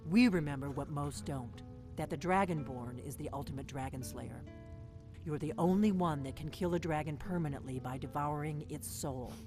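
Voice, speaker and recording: A woman's voice: low-pitched, normal speed. An actress voicing a guarded, driven veteran female agent in a video game, speaking tersely and with command. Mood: grave, urgent. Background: game music.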